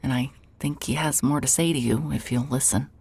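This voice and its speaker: An actress playing a rueful, conflicted woman reporter with a husky voice and halting delivery in a naturalistic audio drama. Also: rumbling low, fairly even intonation, darkish tone, no room sound, full character voice. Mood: probing, gentle. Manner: hesitant, halting.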